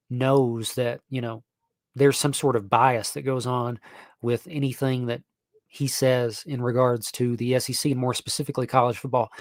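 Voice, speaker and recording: A young man, hosting a sports stream at an even, middle pitch. He rambles along with fillers and restarts. The close mic sounds dry, with no room around it. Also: no room sound. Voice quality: nasal. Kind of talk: casual live-stream talk.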